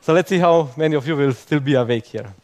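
Young non-native male tech speaker, self-mocking, fairly high voice, tags lines with "okay?". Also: quick pace, a little mellow. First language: not English. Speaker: conference speaker. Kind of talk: conference talk to a live audience.

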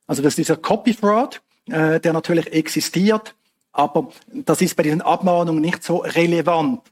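A man's voice: fairly high pitch, darkish tone, slightly rough. A man from Switzerland, answering audience questions after a talk in an explanatory way. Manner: explanatory, hedging asides, frequent fillers.

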